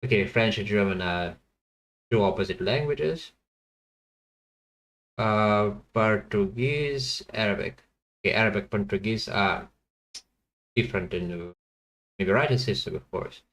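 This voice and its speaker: A man's voice: fairly low pitch, animated, fairly bright tone, low-quality recording. Hesitant man thinking aloud on stream. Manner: hesitant, halting, with many fillers and self-corrections; chats to viewers between guesses. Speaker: streamer playing a language-guessing game.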